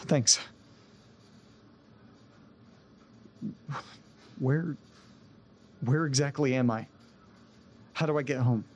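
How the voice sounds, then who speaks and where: An actor playing a distressed, desperate man in an audio drama, speaking earnestly and haltingly, often breaking off mid-sentence. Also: mid-range voice, slightly rough. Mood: anxious, pleading. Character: distressed man recounting a haunted-house ordeal, desperate to get home. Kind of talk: audio drama acting, naturalistic.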